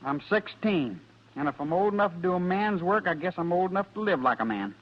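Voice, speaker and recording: An actor playing a proud, stubborn sixteen-year-old cowboy in a radio Western, with terse, folksy frontier talk. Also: male voice, high voice, lively intonation, brisk. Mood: determined. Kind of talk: 1950s radio Western acting. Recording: dull vintage radio recording with music.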